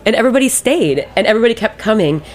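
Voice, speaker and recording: A woman's voice: on the high side, animated, rapid-fire, darkish tone, a little hiss or hum. A woman chatting casually as a radio guest, telling stories in colloquial phrasing. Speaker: radio guest, ice cream maker. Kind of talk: casual chat.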